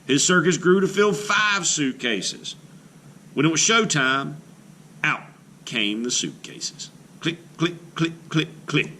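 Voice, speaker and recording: Male art teacher reading a storybook to kids, bright and animated, faint room noise behind. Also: on the high side. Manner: expressive storybook reading, slowing on key phrases. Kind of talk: children's read-aloud.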